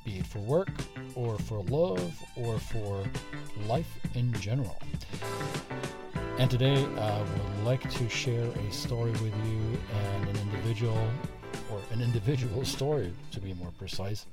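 A man's voice: lowish voice, unhurried, over loud music. A male podcast host whose first language is German, chatting casually and telling a story with self-deprecating asides. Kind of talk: conversational podcast interview.

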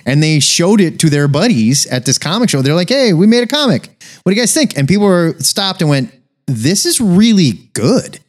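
A man with a fairly high voice and very animated intonation co-hosts a podcast. He talks informally, like someone retelling a story to a friend. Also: moderate pace. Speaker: co-host of a comics review podcast. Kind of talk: casual chat.

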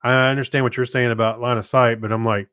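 A man's voice: mid-range voice, flat delivery, fast talker, a bit bright, smooth, no room sound, studio quality. A man co-hosting a podcast in casual chat, explaining things in a laid-back, tentative way. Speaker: podcast co-host.

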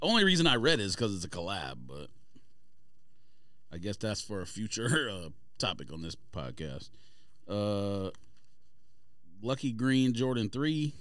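A man's voice: on the low side, very animated, a little slow, long pauses, fairly bright tone, no echo, studio quality. A man bantering casually as a podcast host, blunt, sardonic and exasperated, sometimes swearing. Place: dry studio.

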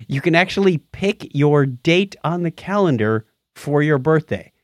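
Male podcast co-host, animated and slightly high-voiced, jokey and chatty, casual banter. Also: unhurried, no echo, clean studio sound. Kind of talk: casual news and birthday banter.

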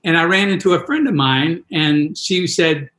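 A man's voice: on the high side, moderate pace, sharp tone, roomy sound. A man in his sixties, a triathlon coach, telling a self-deprecating story with many restarts in a podcast interview. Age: 60s.

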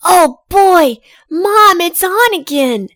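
A woman's voice: very high-pitched, expressive, slow, fairly bright tone, dry recording, studio quality, exaggerated voice. A woman playing an earnest, innocent seven-year-old boy in an audio drama. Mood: excited, eager. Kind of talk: audio drama acting and first-person narration.

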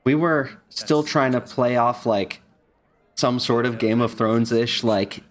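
A man with a medium-pitched voice chatting on a commentary track. His manner is casual, dry and understated. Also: measured pace.

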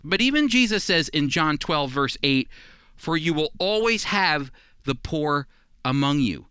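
Male Bible teacher, forceful and earnest delivery, very dry close sound. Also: fairly high pitch, lively intonation, unhurried, clean tone, dry recording. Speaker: Bible teacher and radio co-host. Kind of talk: scripted radio Bible teaching.

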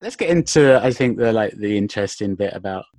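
A man's voice: fairly low pitch, animated, a little fast, a little mellow, no room sound. A man hosting an interview podcast, asking curious questions in a casual, eager way with many fillers. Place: based in Madrid.

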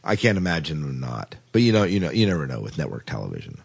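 A man's voice: very deep voice, sing-song, quick pace, vocal fry, husky. A man hosting a casual podcast chat about television, informal and enthusiastic in the way he talks. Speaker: podcast host.

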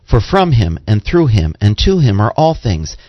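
A man's voice: fairly low pitch, big pitch swings, moderate pace, no room sound, dull audio. A man co-hosting a religious radio show, reading scripture and scripted announcements. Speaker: radio co-host and scripture reader.

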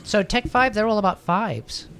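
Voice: mid-range voice, expressive, normal speed, a crowd in the background. A podcast host bantering casually with a group in a joking, teasing way.

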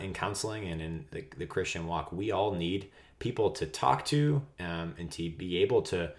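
Deep-voiced devout podcast host. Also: male voice. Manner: halting, many fillers and restarts, devout. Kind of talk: podcast host intro and interview.

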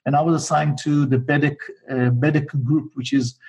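Husky middle-aged Israeli man, slightly high voice. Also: steady tone, moderate pace, darkish tone. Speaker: interview guest, businessman. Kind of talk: conversational life story in an interview.

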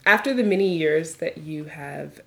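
A woman's voice: deep voice, moderate pace, vocal fry. Affirming New woman hosting. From New York, USA. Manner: warm, affirming, laughs often. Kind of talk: casual interview chat.

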